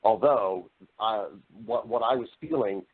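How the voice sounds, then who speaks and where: A man's voice: fairly low pitch, a little slow, breathy edge. A middle-aged American man telling personal stories as an interview guest, speaking earnestly and emphatically, often repeating phrases as he goes. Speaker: podcast guest, voice-over artist and storyteller. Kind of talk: conversational interview storytelling. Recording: narrow-band, radio-like call audio.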